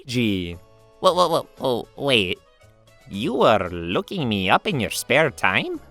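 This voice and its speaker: A man voicing an excitable, teasing video-game plumber hero in a cartoon fan dub, with an animated, exclamatory manner. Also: mid-range voice, takes it slowly, soft background music, voice pushed up, exaggerated voice. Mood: puzzled, suspicious.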